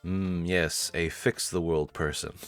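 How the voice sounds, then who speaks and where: A man's voice: low-pitched, fairly even intonation, measured pace, clean tone. A man hosting a let's-play, reading story narration theatrically with casual, amused asides. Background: faint game music.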